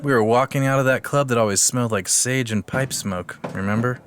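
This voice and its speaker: An actor playing a cocky, self-adoring adventurer in a comedic fantasy audio drama. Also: male voice, medium pitch, fairly quick, noisy background, lightly acted. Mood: boastful storytelling. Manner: boastful, swaggering, self-satisfied. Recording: busy sound effects behind voice.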